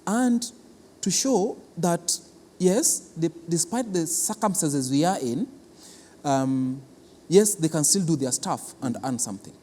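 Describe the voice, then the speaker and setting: A man with a Kenyan accent explains things as an interviewed official, speaking haltingly and repeating his words. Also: a little high, animated, dark tone. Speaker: cultural institution official being interviewed. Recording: reverberant hall with clear background noise.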